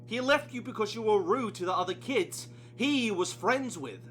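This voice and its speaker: A man's voice: high voice, animated, moderate pace, a bit bright, a little hiss or hum, pitched above the performer's own voice. A man playing a stern, blunt psychologist in an amateur audio play, reproachful and cutting. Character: stern, blunt, coldly taunting male psychologist.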